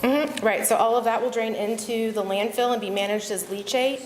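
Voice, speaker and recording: A woman's voice: a little high, moderate pace. A woman from the agency's permit staff explaining monitoring rules plainly at a public hearing. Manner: explanatory, matter-of-fact. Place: public meeting hall. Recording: echoey hall, faint noise.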